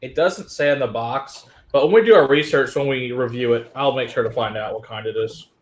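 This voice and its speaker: A man co-hosting a whiskey podcast in casual chat, relaxed and asking short questions. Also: mid-range voice, a little fast. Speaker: podcast co-host.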